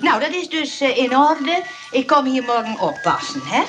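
An actress playing a kindly, obliging nurse in a Dutch radio play, polite and reassuring in manner. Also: female voice, high voice, sing-song, normal speed, over music. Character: kindly, obliging female nurse, soothing and mildly protesting.

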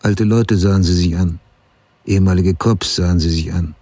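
A man's voice: low voice, steady tone, moderate pace, warm tone, husky, no room sound. An actor playing a sober, hard-boiled crime writer who narrates his past in a terse, matter-of-fact way in a radio drama.

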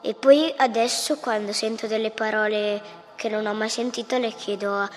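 A young-sounding female in a radio play, speaking in simple, childlike, halting and repetitive phrases. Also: mid-range voice, fairly even intonation. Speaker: performer in a live radio play. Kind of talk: simple childlike dialogue and teaching. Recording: very reverberant hall, faint music.

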